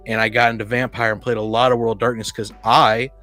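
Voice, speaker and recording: A man's voice: mid-range voice, level tone, pushed and bright, faint music behind. A man chatting casually about his gaming experiences, rambling and often interrupting himself. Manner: rambling, halting, self-interrupting. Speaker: panel guest on a tabletop gaming podcast. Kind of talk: casual chat, personal anecdotes.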